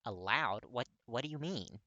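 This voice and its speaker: A man voicing an anxious, tearful, self-blaming young man in a dramatic audiobook reading, halting and pleading. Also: medium pitch, lively intonation, pushed and bright, no echo, highs cut off. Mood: tentative.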